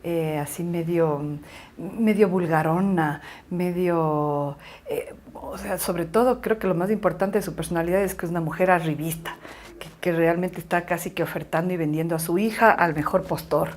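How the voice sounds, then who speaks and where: A woman's voice: on the low side, fairly even intonation, normal speed, a little mellow. A woman giving casual, colloquial and emphatic interview commentary. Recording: faint ambient noise.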